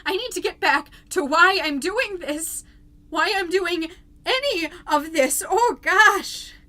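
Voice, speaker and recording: A woman plays an anxious mother hosting a podcast in an audio drama. Her voice is very high and bright. She talks slowly in flustered, halting bursts, full of apology. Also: expressive, heavily acted. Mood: guilty, upset. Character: anxious, apologetic mom podcaster slipping into eerie trances. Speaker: fictional podcast host.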